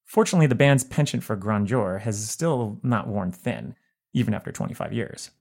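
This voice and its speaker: Guy reading out an album review, scripted narration, measured and articulate. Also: on the low side, creaky, darkish tone.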